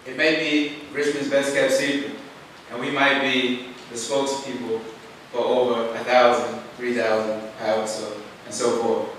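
A man's voice: medium pitch, flat delivery, normal speed, fairly bright tone. A young man speaks earnestly and plainly during public comment. His voice sounds distant and bounces around a very reverberant chamber. A faint hiss sits behind it. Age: young adult. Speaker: youth commission member. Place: council chamber. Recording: echoey far-off chamber audio.

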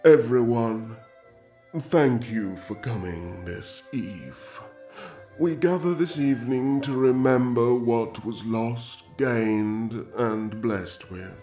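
Man playing a stately, very slow elf king. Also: medium pitch, mellow, echoey room, soft background music, close to an everyday voice. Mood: solemn, hopeful. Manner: grand, deliberate, proclaiming. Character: stately, solemn elf king addressing his gathered clans. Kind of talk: ceremonial speech in roleplay audio drama.

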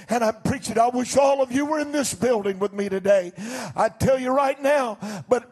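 An older man preaching a sermon as pastor from a church stage into a handheld mic, swinging between shouted declarations and low, quiet passages. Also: husky. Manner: fervent preaching, rising to shouts then dropping low.

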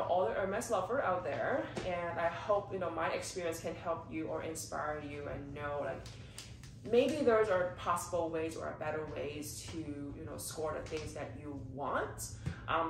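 Woman, congested, casual vlog chat, filler words, lowish voice, distant mic, echoey living room. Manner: chatty, frequent "like" and "you know" fillers. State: congested from a cold. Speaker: luxury unboxing vlogger. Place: living room, seated behind gift boxes.

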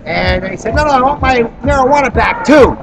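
A man hosting an informal on-the-spot interview, telling stories in an animated way and acting out quoted dialogue. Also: very high voice, fairly quick, gravelly, raised voice, a crowd in the background, noisy background. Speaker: interviewer and activist introducing a guest. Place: busy expo venue. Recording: music behind the voice. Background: music.

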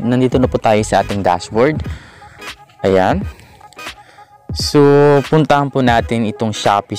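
A man with a Filipino accent hosting a screen-recorded app tutorial, talking in a relaxed way and leaning on fillers like "ayan" and "okay". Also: lowish voice. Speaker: tutorial host. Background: background music.

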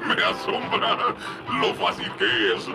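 Gravelly, gloating cartoon villain. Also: male voice, mid-range voice, full character voice, rough recording. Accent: Latin American Spanish. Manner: menacing, gloating, barking orders. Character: bullying male villain leading armed raiders, gloating and cowardly. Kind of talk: dubbed 1980s cartoon acting.

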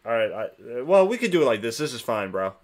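Man, podcast host, casual chat, filler-heavy, everyday pitch. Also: quick pace. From New Jersey. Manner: relaxed, filler-heavy talk.

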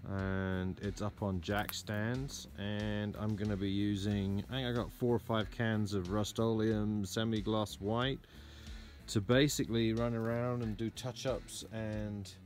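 A man narrating a DIY trailer project in a casual how-to style, relaxed and full of "uh" and "um", recorded outdoors in a yard on a camera mic. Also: lowish voice. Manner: relaxed, lots of "uh" and "um". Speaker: DIY vlogger.

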